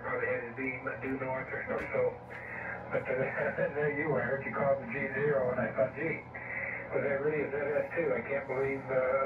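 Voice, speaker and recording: Through heavy noise and a thin, echoing radio channel comes a man of sixty. He talks casually in a rambling, halting way, as an amateur operator chatting over the air. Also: mid-range voice. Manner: rambling, hesitant. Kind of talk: casual ham radio conversation. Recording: tinny narrowband radio audio, loud static, distant, echoey.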